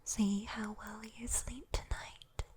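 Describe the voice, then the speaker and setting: A woman's voice: mid-range voice, little pitch movement, a little mellow, natural voice. Woman playing a whispering, teasing British demon girl. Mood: teasing, coaxing. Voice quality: breathy whisper. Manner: slow, intimate, teasing. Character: sweet, teasing, possessive female demon watching over someone. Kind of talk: whispered ASMR roleplay.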